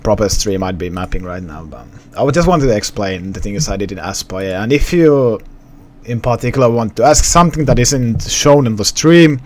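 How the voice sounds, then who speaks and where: A young man from Finland with a softened Finnish accent, chatting casually to his live-stream viewers with many restarts. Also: fairly low pitch, expressive, normal speed, a little hiss or hum. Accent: Finnish, partly suppressed. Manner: rambling, restarts, talks to chat.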